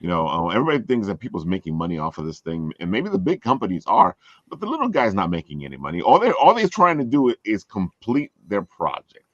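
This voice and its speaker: A man chatting casually as a podcast host, earnest and halting, often repeating his phrases. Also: on the low side, huge pitch swings, dry recording, highs cut off. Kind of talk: casual group chat.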